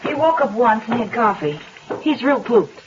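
An actress playing a sultry, defiant, unfaithful wife in a 1970s radio drama, languid and hard-boiled. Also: female voice, on the high side, expressive, normal speed, natural voice. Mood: wary. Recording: muffled vintage radio with noisy background.

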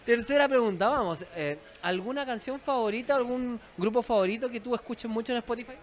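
A man's voice: extremely high pitch, brisk. A man with a Chilean accent hosting an interview, upbeat and playful, laughing often. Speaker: interviewer, host. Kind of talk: casual interview chat.

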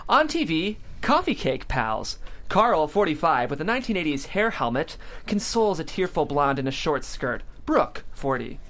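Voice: medium pitch, very animated, normal speed, a bit bright. A narrator reading stage directions aloud at a comedic script table read.

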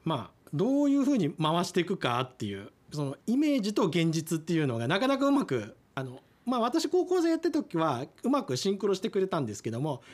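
A man's voice: high-pitched, huge pitch swings, fast, few pauses. A man in his forties answering casually as a guest in an interview, polite and modest. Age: 40s. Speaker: listener guest who acted in radio drama.

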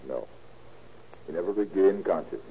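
An actor playing a grave, soothing doctor in a 1930s radio drama, measured and formal. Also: male voice, sits low, expressive, vocal fry. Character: grave, soothing doctor attending a bereaved mother. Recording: muffled, hissy old radio recording.

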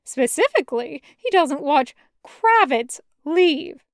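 A woman narrates a story from a script in an expressive reading style. Her voice sits high, and the recording is dry and close with little room sound. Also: slow, no room sound. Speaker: podfic reader narrating fan fiction.

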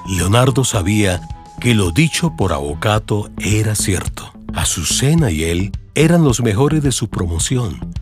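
Deep-voiced, lively Colombian male narrator. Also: a little slow. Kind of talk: dramatized audiobook narration in radio serial form. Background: music under the voice.

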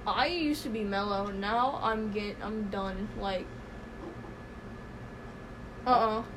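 A teenage girl hosting a casual podcast chat with her mom, rambling and excitable with lots of fillers. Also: medium pitch, takes it slowly, background noise. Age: teens. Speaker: podcast host. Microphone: phone podcast app recording.

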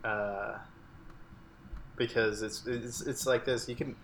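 A man's voice: mid-range voice, fairly even intonation, takes it slowly, fairly bright tone, slight background noise. A man co-hosting a casual movie podcast, talking in a rambling way. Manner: rambling, many fillers and restarts. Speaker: podcast co-host.

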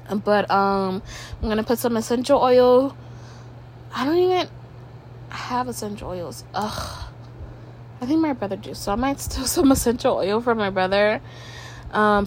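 A woman's voice: on the high side, slight background noise. A young woman with a stuffy nose, rambling casually at home as a podcast host, tripping over her words and swearing now and then.

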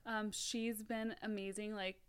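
A woman in her late twenties, a guest on a podcast, talking in a friendly, relaxed way. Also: medium pitch, a little slow, clean tone. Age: 20s. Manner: friendly, relaxed, with light laughs. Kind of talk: casual interview chat.

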